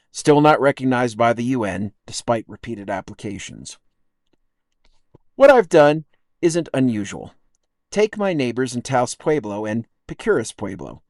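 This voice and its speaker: A man's voice: medium pitch, expressive, gravelly, darkish tone, no echo. American man narrating, dry close recording. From United States, upstate New York and Texas. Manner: measured, reflective, wry. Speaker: podcast writer and narrator. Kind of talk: scripted personal essay narration.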